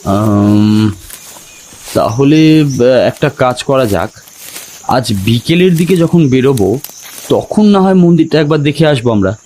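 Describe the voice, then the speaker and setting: A man's voice: medium pitch, expressive, measured pace, pauses often, mellow, slight background noise, close to an everyday voice. A man playing a sceptical, hesitant young city man in an audio horror story, talking in a conversational, reasoning way. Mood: agreeable. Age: young adult.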